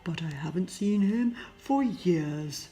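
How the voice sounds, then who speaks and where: A woman voicing a gentle, lovelorn male fairy-tale Beast, earnest and grave, for a storybook. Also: lowish voice, lively intonation, takes it slowly. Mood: sad, resigned.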